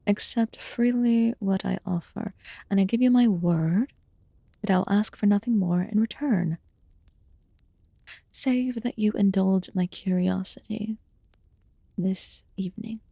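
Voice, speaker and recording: Woman acting as a proud fae witch healer, mellow soft voice, creaky edge, formal archaic phrasing, fantasy ASMR, whispery. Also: medium pitch, measured pace, long pauses, no echo. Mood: calm, solemn. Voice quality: soft, breathy, often dropping to a whisper. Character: aloof, measured female fae witch healer, proud yet curious.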